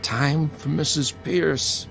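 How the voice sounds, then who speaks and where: A streetwise older brother in a radio drama, battered but protective. His voice is a little high for a man, with a gravelly crackle and lively swings in pitch. He wisecracks in a halting, conversational way, with music underneath. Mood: reassuring, earnest.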